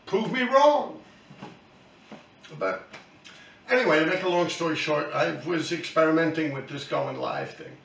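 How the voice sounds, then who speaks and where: A man's voice: on the high side, a little slow, vocal fry, long pauses, a bit bright, roomy sound, distant mic, low-quality recording. A man, talking bluntly and profanely to his viewers in a rambling monologue. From New York City. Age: 50s. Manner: blunt, profane, talks in loose run-on asides. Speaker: YouTube channel host, graffiti writer.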